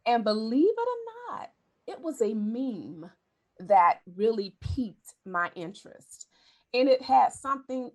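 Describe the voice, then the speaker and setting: A woman's voice: on the high side, creaky. A woman in her forties hosting a podcast, talking candidly in a chatty way and correcting herself as she goes. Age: 40s. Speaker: podcast host. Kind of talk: casual conversation.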